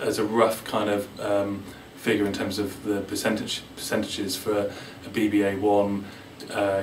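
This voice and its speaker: Man, hesitant adviser, lowish flat voice, distant mic, music behind. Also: reverberant, slight background noise. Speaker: placements office staff member. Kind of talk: spontaneous informal interview answer.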